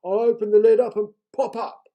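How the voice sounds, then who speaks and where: A man's voice: flat delivery, muffled recording, natural voice. A man voicing a simple, hungry male troll who talks in a small, timid voice. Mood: eager. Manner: small high voice, drawn-out.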